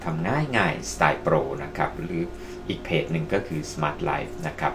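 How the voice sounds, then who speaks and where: A man's voice: very deep voice, fairly even intonation, normal speed, creaky, background noise. A man presenting casually to online viewers as a tutorial host, addressing them politely as friends. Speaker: presenter of video-making tutorials. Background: music.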